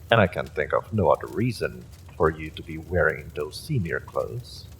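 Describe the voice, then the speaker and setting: A man's voice: very deep voice, very animated, measured pace, gravelly, slight background noise, heavily acted, rough recording. A man playing an evil sorcerer in an audio roleplay, smug and silkily menacing, with a mock-polite, gloating delivery. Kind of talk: dramatic villain monologue, audio roleplay.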